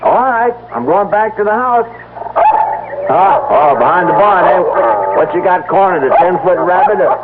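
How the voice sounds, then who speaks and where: An American actor playing a plain-spoken farmer and husband in an old-time radio drama, folksy and exclamatory. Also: male voice, high voice, normal speed, heavy background noise, lightly acted. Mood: calling, hearty. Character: plain-spoken, dog-loving farmer husband, worried about his wife. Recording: tinny narrow-band vintage radio, music behind.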